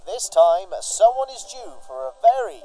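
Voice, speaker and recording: Very high, mellow man announcing, noisy recording. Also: big pitch swings, measured pace, faint music behind. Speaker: show announcer. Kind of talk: scripted mock advert announcing.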